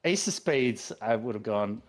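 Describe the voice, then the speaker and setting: A man's voice: lowish voice, animated, a little slow. Laid-back man joking on a game stream. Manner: laid-back, self-mocking humor. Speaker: game tournament co-commentator. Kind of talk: casual livestream game commentary.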